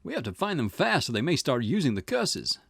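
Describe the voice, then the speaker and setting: An eager junior detective from a visual novel , acted out by a man, earnest and full of questions. The voice is lively in pitch and deferential, recorded close and dry. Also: a little fast, gravelly, breathy edge, no room sound, exaggerated voice. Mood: surprised, urgent. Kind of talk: reading visual novel dialogue in character.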